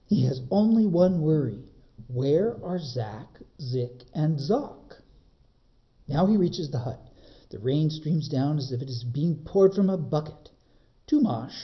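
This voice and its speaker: A man reads a children's story aloud like a librarian at story time. His pitch moves up and down a lot, with dramatic, measured phrasing. The sound is a little muffled. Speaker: librarian reading a children's book. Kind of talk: expressive storybook narration.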